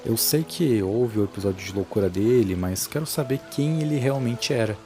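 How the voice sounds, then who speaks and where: A man playing an earnest adult visitor in an audio drama, halting and subdued in a conversational way. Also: mid-range voice, lively intonation, warm tone, soft background music, natural voice. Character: earnest, hesitant adult man, visiting long-lost half-brother.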